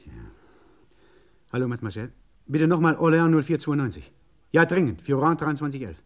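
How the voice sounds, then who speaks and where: An actor playing an urgent, insistent French car mechanic on the phone in a 1950s German radio play. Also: male voice, on the high side, expressive, fairly quick, close to an everyday voice. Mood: urgent, pleading. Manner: urgent, insistent, muttering to himself in asides.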